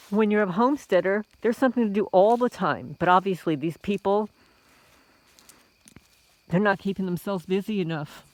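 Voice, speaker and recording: Female homesteading vlogger, middle-aged, from the Bronx; casual, indignant monologue addressed straight to her audience, mid-range pitch. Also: no echo. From the Bronx, New York. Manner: indignant, rhetorical, addresses listeners as folks. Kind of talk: casual vlog monologue to viewers.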